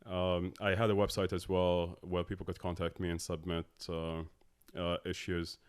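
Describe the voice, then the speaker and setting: A man in his thirties from Abu Dhabi, a tech co-founder talking as a podcast guest in a halting way with many filler sounds. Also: deep voice, flat delivery, clear, resonant tone. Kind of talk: casual interview talk.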